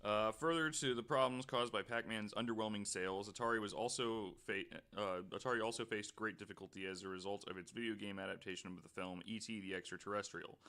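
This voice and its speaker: A man with a fairly low voice hosts a casual podcast. He talks with many ums and false starts, then reads long stretches of researched notes aloud. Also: steady tone, fast talker, hardly any pauses, bright, forceful tone. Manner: hesitant, full of ums and restarts, reads notes at length. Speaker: podcast host.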